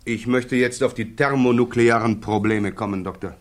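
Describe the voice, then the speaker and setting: A man's voice: mid-range voice, steady tone, moderate pace, lightly acted. An actor playing an insistent male prosecutor in a radio play, pressing, precise and formal. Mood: coolly insistent. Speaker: interrogating counsel. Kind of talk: German radio play acting.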